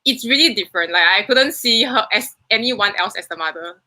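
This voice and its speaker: A woman's voice: mid-range voice, expressive, fairly quick, pushed and bright. A young woman with a Singaporean accent, a student film producer answering interview questions in a rambling, self-correcting way.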